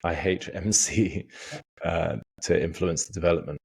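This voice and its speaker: Very deep-voiced male podcast host. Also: steady tone, unhurried, creaky, a little mellow, slight background noise. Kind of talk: casual interview talk.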